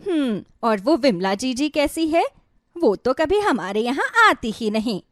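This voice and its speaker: A chatty, flattering middle-aged aunt, put on by a woman narrator for a cartoon story. Her speech swings widely in pitch at a slightly slow pace, with a dry sound. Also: no echo. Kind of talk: acted cartoon moral-story dialogue.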